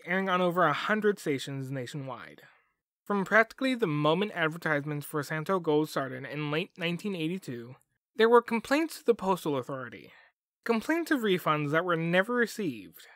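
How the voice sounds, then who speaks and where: A man's voice: high-pitched, a bit bright, no echo, clean studio sound. A man hosting a podcast, reading scripted documentary narration in a wry manner. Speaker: podcast host.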